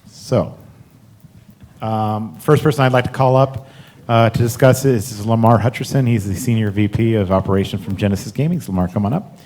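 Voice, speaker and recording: A man's voice: on the low side, brisk, a little mellow, a little hiss or hum. A man introducing speakers at a conference. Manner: announcing names. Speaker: event host introducing speakers. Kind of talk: stage introduction. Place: conference hall.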